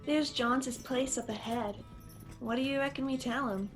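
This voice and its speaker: A woman playing an anxious, gentle young peacemaking sister in a radio drama. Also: sits high, moderate pace, soft background music, lightly acted. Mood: uneasy.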